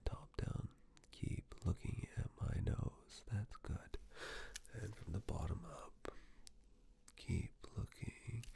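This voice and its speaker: A man speaking in a breathy, husky whisper and soft low voice, giving soothing, measured instructions in a medical exam ASMR roleplay.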